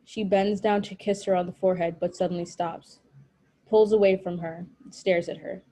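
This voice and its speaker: Mellow-voiced woman reading stage directions. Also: fairly low pitch, fairly even intonation, moderate pace. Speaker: script narrator at a table read.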